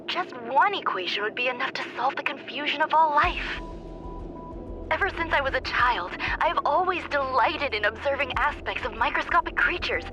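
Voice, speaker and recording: A genius woman scientist from a video game, detached and faintly melancholy. She speaks softly in measured, formal phrases, as if reasoning through philosophy alone. Music plays clearly behind her. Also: high-pitched, rough recording. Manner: measured, formal, soft-spoken. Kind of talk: scripted game monologue.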